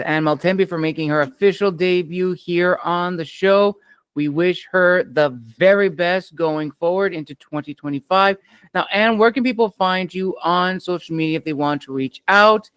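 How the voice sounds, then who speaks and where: A man's voice: on the high side, a bit bright, clear, resonant tone, dry recording. A man hosting an interview show, wrapping it up with an upbeat, formulaic sign-off. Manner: upbeat, formulaic announcer phrasing.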